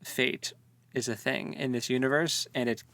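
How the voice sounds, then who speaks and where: A man's voice: medium pitch, fairly even intonation, normal speed, fairly bright tone. A man co-hosting a casual book discussion, speaking informally and jokily with tentative phrasing. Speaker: podcast co-host.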